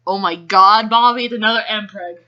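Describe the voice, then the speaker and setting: A woman's voice: mid-range voice, brisk, bright, forceful tone. Woman reading aloud, dull lo-fi sound. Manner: giggly, often breaks off to react. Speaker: reader. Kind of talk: amateur dramatic reading of fan fiction with casual asides. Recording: dull lo-fi home recording.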